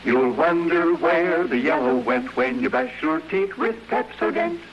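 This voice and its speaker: A man's voice: a little high, very animated, unhurried, soft background music, low-quality recording. A man performing a catchy vintage radio advertising jingle, on an old cassette recording.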